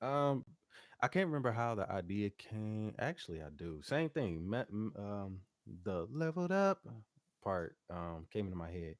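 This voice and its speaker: A man's voice: on the low side, sing-song, moderate pace, no echo, clean studio sound. A man speaking casually and informally as a musician guest in an interview, with slangy, loosely phrased talk. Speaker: interview guest, R&B singer and producer.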